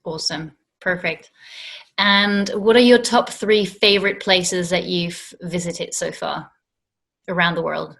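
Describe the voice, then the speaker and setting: A woman hosting a casual travel interview series over a video call, upbeat and quick to laugh. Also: fairly low pitch, steady tone, gravelly, a bit bright.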